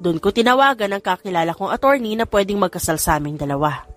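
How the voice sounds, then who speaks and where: A woman's voice: medium pitch, expressive, quick pace, soft background music, close to an everyday voice. Woman voicing a boastful Filipino rich-family son. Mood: explaining. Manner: boastful, self-assured. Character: vain, cheeky adult son in rich family.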